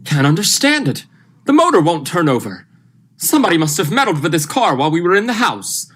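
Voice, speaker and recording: A woman's voice: fairly low pitch, sing-song, brisk, husky. A woman playing a steadying, practical doctor in a vintage radio thriller, speaking in an earnest, reassuring way. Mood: urgent, concerned.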